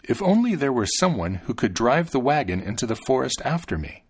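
A man voicing a gentle, doting farmer father in a storybook tale, plain-spoken and wondering. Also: medium pitch, sing-song, fairly quick, husky. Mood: musing.

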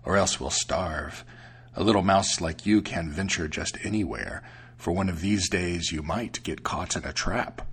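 A man voicing a sly, greedy male storybook cat who talks slowly and dryly as he deceives. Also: low-pitched, a bit bright, breathy edge, voice pushed down, full character voice. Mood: persuasive. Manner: slow, dry, drawn-out delivery. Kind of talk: storybook character voice.

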